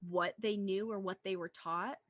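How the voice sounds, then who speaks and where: A woman's voice: medium pitch, fairly even intonation, moderate pace, no echo, clean studio sound. A woman co-hosting a casual movie discussion, talking in an earnest, rambling way with plenty of "like". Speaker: podcast co-host.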